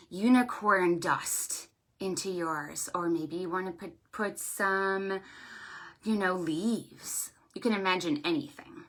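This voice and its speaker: A woman leading a children's storytime, speaking in an animated sing-song way with exclamations and questions for young listeners. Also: medium pitch. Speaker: children's librarian hosting storytime. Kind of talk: children's storytime with read-aloud and pretend play.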